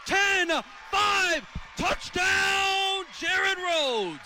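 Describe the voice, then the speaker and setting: A man in his twenties calling live American football on radio as play-by-play announcer, with an animated, excitable delivery. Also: very high voice, very slow, very noisy. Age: 20s. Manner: animated, builds from clipped calls to excited shouting. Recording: stadium crowd behind the commentary. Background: stadium crowd.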